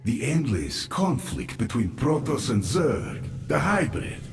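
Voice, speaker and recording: A man's voice: medium pitch, big pitch swings, slow pace, vocal fry, full character voice. A man playing a grave, solemn alien advisor in a video game cutscene, giving portentous counsel. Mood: ominous. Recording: echoing sound with game music behind.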